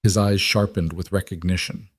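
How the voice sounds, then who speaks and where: A man's voice: sits low, steady tone, normal speed. A man telling a story as scripted romance audiobook narration.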